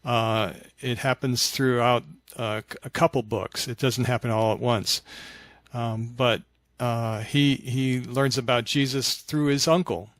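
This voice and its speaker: Mature male, mid-range voice, reflective conversational storyteller for an interview, halting phrasing, dry recording. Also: medium pitch, no room sound. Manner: halting and reflective, animated when acting out remembered dialogue. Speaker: podcast guest, author of fiction.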